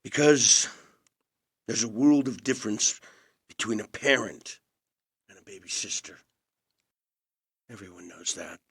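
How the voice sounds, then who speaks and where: An actor in an audio play playing a charming but self-blaming movie star and estranged older brother, measured and quietly intense with dry teasing. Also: male voice, on the low side, expressive, gravelly, breathy edge, full character voice. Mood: bitter, controlled. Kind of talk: intimate audio play acting.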